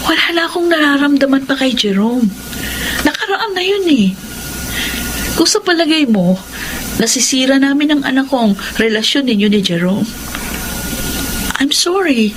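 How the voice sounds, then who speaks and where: A woman acting a composed single mother in a Filipino audio melodrama, speaking in a measured, firm, conciliatory way. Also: high voice, lively intonation, noisy background. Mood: firm, defensive. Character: composed single mother, husband's former lover. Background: loud music.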